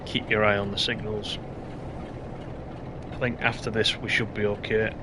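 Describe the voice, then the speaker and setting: A man casually commenting on his train game while streaming, reading out and answering chat comments, his voice a bit hoarse. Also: on the low side, little pitch movement, moderate pace, noisy background. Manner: chatty, reads out chat comments. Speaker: live streamer.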